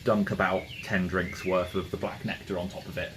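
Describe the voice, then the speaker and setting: A man's voice: low voice, level tone, a little fast. A man playing a tabletop game, casually describing his moves to the group. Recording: clear background noise and ambience.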